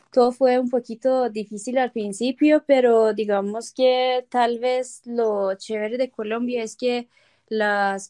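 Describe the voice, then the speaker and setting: A woman's voice: on the high side, a little mellow, no room sound. A woman from Iran whose first language is Persian, a guest in a casual interview, talking hesitantly with many fillers and small laughs.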